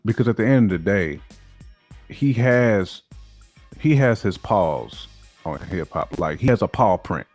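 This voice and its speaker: A man's voice: lowish voice, expressive, a little slow, darkish tone, soft background music. A man co-hosting a casual hip hop discussion, speaking emphatically and repeating phrases to build his points. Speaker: podcast co-host.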